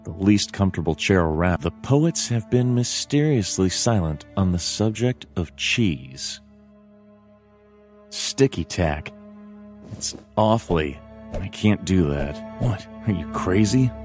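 A man voicing a world-weary, wry animal detective narrating a noir video game in a dry, deadpan way. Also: low-pitched, takes it slowly, faint music behind.

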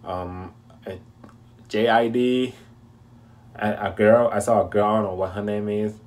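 A man in his thirties chats loosely to his webcam from a small room at home, his voice sitting a little low. He rambles along, leaning on fillers and loose asides as he reacts. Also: steady tone, unhurried, a little mellow. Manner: laid-back, rambling, many fillers. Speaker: reaction video host. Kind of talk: casual reaction commentary.

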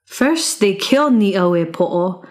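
A woman's voice: medium pitch, expressive, takes it slowly, darkish tone. A woman voicing a bold young chief's son in a legend, with chanting, declamatory calls and questions. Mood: bold, challenging.

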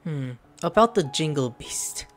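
A young man streams a game in a casual way, thinking out loud. His voice is fairly high and swings up and down a lot, with game music behind it. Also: expressive, unhurried. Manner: reacts aloud, thinks out loud. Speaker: gameplay streamer. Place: small room at home. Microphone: close desk microphone.

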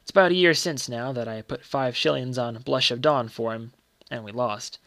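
A man's voice: mid-range voice, normal speed, a bit bright, dry recording, natural voice. A man voicing a deferential, earnest manservant in a story, respectful and confiding. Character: deferential, earnest manservant, hushed and reverent about secrets.

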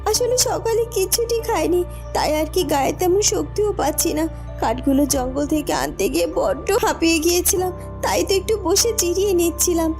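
Very high, plaintive young girl voiced by a woman. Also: lively intonation, moderate pace, a little mellow, faint music behind. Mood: meek, apologetic. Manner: pleading, plaintive, submissive. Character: poor meek hungry orphan village girl, pitiful. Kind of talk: cartoon story acting.

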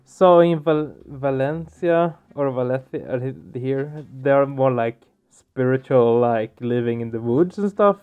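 A man giving casual let's play commentary, halting as he searches for words. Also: mid-range voice, clean tone. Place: small room at home, indoors. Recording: dull muffled sound, music behind. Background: game music.

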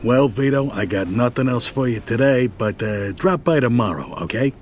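An actor playing a middle-aged mob boss in a video game, casual and businesslike. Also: male voice, mid-range voice, expressive, normal speed, close to an everyday voice. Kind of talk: video game cutscene acting. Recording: music under the dialogue.

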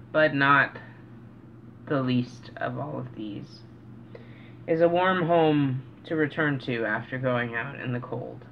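Slow, halting middle-aged man vlogging. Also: mid-range voice. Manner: earnest, halting, pausing mid-sentence. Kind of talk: casual, reflective vlog talk. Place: small cluttered room indoors. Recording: dull, noisy home recording.

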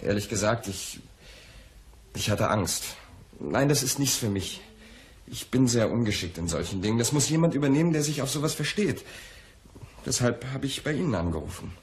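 An actor playing an anxious, spoiled young poet in a radio crime drama, speaking in an agitated, halting way. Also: male voice, medium pitch, lively intonation, close to an everyday voice. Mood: worried, subdued. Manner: agitated, halting, repeats words. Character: anxious, spoiled young poet in his twenties, pleading lover.

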